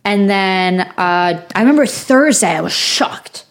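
Young woman co-host, raspy and sniffly from a lingering cold, chatty, rambling delivery full of "like.". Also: medium pitch, lively intonation, unhurried. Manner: rambling storytelling with many fillers. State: hoarse, recovering from lost voice; sniffly from sinus infection. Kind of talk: casual chat.

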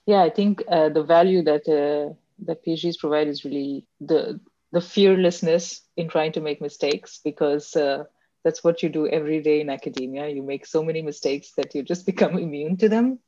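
Low-voiced woman host, lo-fi sound. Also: normal speed, no echo. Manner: soft-spoken, many fillers and restarts, laughs easily. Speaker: podcast host. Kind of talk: casual interview chat. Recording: dull lo-fi audio.

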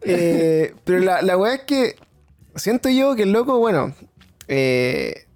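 A man's voice: high-pitched, sing-song, slow pace, darkish tone. A young man with a Chilean accent chatting casually about football, rambling and slangy with frequent hesitations.

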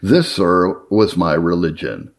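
A man reading an old formal letter aloud as a narrator, in an earnest, measured way. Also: low voice, lively intonation, gravelly. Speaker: narrator reading historical religious writings.

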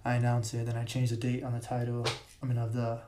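A young man hosting his own podcast, his voice raspy today, talking casually with many fillers and restarts, recorded in a big room. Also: mid-range voice, monotone, fast talker, noisy background. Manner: rambling, filler-heavy, self-correcting. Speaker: podcast host. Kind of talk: casual solo podcast talk. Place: large echoey room. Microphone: podcast mic.

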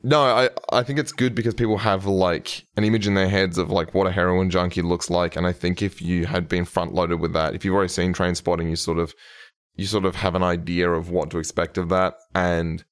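A man with a very low voice co-hosts a casual podcast. He talks in a hesitant, meandering way, often stopping to search for the right word. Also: flat delivery, a little fast. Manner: hesitant, searches for words, many fillers. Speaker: podcast co-host.

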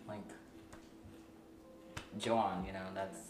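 A young man from Philadelphia hosting a casual chat with a friend, chatty and quick to laugh, loose with slang. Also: sits low, music in the background. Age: young adult. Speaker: podcast host. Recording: echoey room, mic a bit far, steady background noise.

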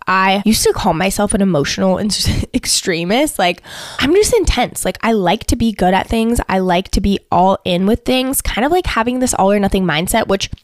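A woman in her late twenties chats candidly and intensely on a solo podcast, with a lot of "like" fillers. Her voice sits at a middle pitch. The recording is dry and close, with no room sound. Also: no room sound. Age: late 20s. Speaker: podcast host. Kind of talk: casual solo podcast chat.

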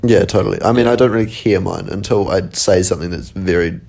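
A man's voice: sits low, fast talker, creaky, darkish tone. A man with a New Zealand accent, co-hosting a podcast in casual chat, laid-back and sweary. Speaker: podcast co-host.

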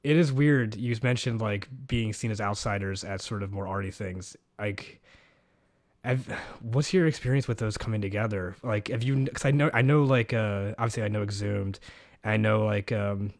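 Guy with a fairly low voice doing a laid-back podcast interview, chatty questions. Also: normal speed, gravelly. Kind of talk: casual chat, asking questions.

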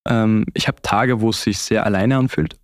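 A man's voice: fairly low pitch, fairly even intonation, normal speed, dry recording, studio quality. A young man with an Austrian accent, talking reflectively and conversationally as an interview guest. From Austria (Vorarlberg).

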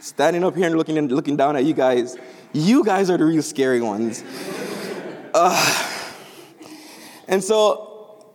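A man's voice: on the high side, animated, a little slow, pauses often. Young man preaching in an echoey chapel. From Jamaica. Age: young adult. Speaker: student preacher. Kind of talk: chapel sermon. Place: large chapel.

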